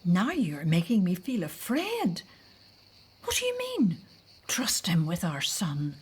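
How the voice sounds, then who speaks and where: An actress in a scripted audio drama playing a frightened Hebrew mother, hesitant and often hushed as she talks to her husband. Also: lowish voice, huge pitch swings, takes it slowly, slightly rough, full character voice. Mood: frightened, pleading.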